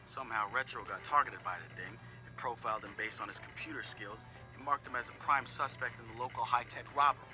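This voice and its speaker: A man's voice: mid-range voice, steady tone, fast talker, few pauses, lightly acted. An actor playing a laid-back young male hacker who sizes up his teammates in a game audio log, talking casually with profane, wry asides. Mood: candid. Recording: clear music behind the voice.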